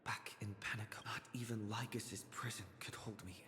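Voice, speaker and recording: Echoing, earnest young game hero. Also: male voice, on the low side, fairly even intonation, moderate pace, pushed and bright, whispered. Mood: determined, hushed. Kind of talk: anime-style video game voice acting. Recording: reverberant game audio with music.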